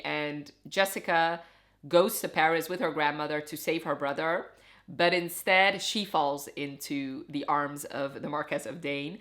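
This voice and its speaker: A woman with a low voice who grew up speaking Dutch. She talks in a casual, conversational reviewing style, with expressive emphasis on her opinions. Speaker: book reviewer. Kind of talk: casual chatty book review to camera.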